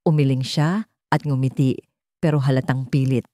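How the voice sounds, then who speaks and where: A woman's voice: very deep voice, fairly even intonation, normal speed, warm tone, no room sound, studio quality. A woman narrating a scripted first-person story as a storyteller.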